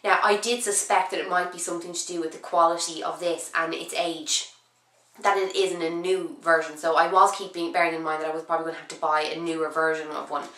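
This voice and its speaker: A young woman with a cold, talking casually and at length as a beauty vlogger, her voice husky from being ill, with many ums and restarts. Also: sits low, flat delivery, a little fast, fairly bright tone, echoey room, low-quality recording. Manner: chatty, rambling, frequent "um" and restarts. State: sick, voice husky and low. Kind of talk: casual chat to camera.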